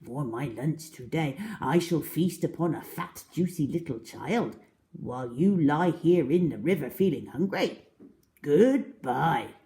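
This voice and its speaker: A woman's voice: low-pitched, animated, a little slow, warm tone. A woman voicing an enormous, greedy, boastful crocodile from a storybook, drawing out words with scheming relish. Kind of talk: storybook character reading.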